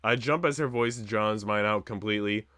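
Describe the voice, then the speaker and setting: A man's voice: on the low side, moderate pace, fairly bright tone, no echo, studio quality. A man streaming a visual novel, reading the story narration expressively with casual asides.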